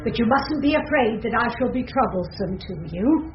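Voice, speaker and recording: An actress playing a kindly, selfless caretaker's wife in an old-time radio drama, gentle and formal, on a dull old recording. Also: female voice, fairly high pitch, lively intonation, normal speed, soft background music, lightly acted. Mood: hurt but gentle.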